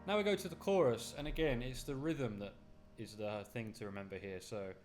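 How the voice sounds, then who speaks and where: Piano teacher, male, slight cold, talks through chords and counts beats, loud piano playing under his voice. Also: medium pitch, a little fast. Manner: explains chords step by step, counting beats aloud. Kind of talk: casual piano tutorial. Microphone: USB studio microphone.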